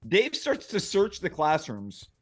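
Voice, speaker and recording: A man narrates stage directions from a script for a comedy table read. His voice sits a little high and swings widely in pitch. Faint music plays behind him. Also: wildly swooping pitch, slow pace, husky.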